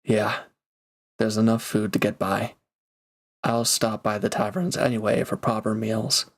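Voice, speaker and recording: A low-pitched male mercenary played by a man from an intimate fantasy audio drama, once a villain. He talks in a casual, hesitant way, sincere and grateful, quick to put himself down. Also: level tone. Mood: reassuring. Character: reformed male villain turned mercenary, grateful, awkward, self-deprecating. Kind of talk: intimate audio roleplay drama, one-sided dialogue.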